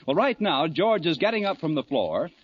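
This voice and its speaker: High, animated American radio announcer. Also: male voice, moderate pace, a little hiss or hum. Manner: cheery, polished salesman delivery. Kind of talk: 1940s radio commercial and announcing. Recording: muffled old radio recording.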